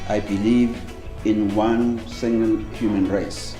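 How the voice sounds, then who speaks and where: A researcher, a man, speaking deliberately with pauses in an interview. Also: on the low side, flat delivery, echoey room, music in the background. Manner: deliberate, pausing. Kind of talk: talking-head interview.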